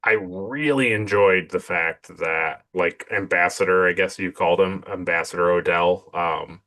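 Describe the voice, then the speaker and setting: A man's voice: sits low, unhurried, sharp tone. A man co-hosting a casual episode review podcast, laughing often and retelling scenes with quoted lines. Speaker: podcast co-host.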